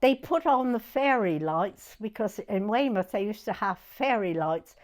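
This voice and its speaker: Elderly southern English woman, very lively intonation. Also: mid-range voice, a little slow. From southern England. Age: 90s. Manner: chatty, animated, repeats herself. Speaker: interviewee recalling her youth. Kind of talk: oral history reminiscence.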